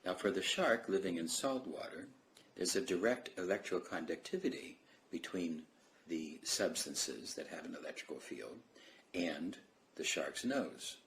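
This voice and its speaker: A man with a deep voice gives an explanatory lecture. His pitch moves around a lot, and there is faint noise on the recording. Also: lively intonation, a little slow, creaky, a bit bright. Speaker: presenter.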